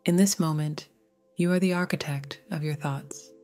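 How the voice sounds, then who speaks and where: A woman narrating a scripted guided meditation in a gentle, soothing, measured way. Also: deep voice, fairly even intonation, faint music behind.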